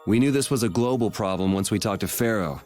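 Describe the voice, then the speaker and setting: A man's voice: on the low side, fast, a little mellow, natural voice. A man playing a cool, plain-spoken young swordsman in a video game, talking casually. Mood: thoughtful. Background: background music.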